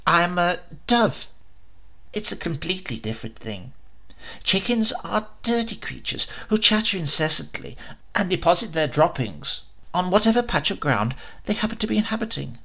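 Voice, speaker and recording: A pompous talking dove from a storybook, fussy and quick to take offence, with a man voicing him. His voice sits a little high and swings lively through each phrase, with a bright, gravelly edge. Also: full character voice. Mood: haughty. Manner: fussy, haughty and easily offended. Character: pompous prim male dove, a transformed prince.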